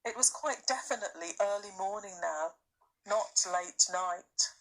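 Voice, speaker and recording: Female storybook reader, slow and expressive, bright tone. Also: mid-range voice, low-quality recording. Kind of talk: expressive scripted story narration.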